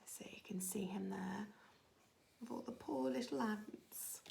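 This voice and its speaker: A woman's voice: medium pitch, unhurried, vocal fry, very noisy. A woman teacher reading a storybook expressively to young children, adding simple little asides. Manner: simple, child-directed phrasing.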